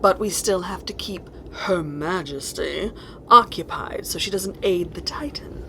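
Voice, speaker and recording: A woman's voice: lowish voice, measured pace, pushed and bright, a little hiss or hum, poor audio. A woman playing a cruel, impatient evil empress in a fantasy audio drama, haughty in her commands and savoring her threats. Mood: menacing, scheming.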